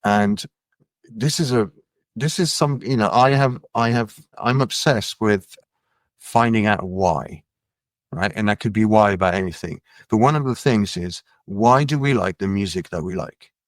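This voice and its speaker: Man from France, low voice, relaxed and musing, middle-aged, dry close mic. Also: unhurried, no room sound. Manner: relaxed, musing, trails off with fillers. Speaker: musician and label head, guest. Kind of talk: casual interview chat.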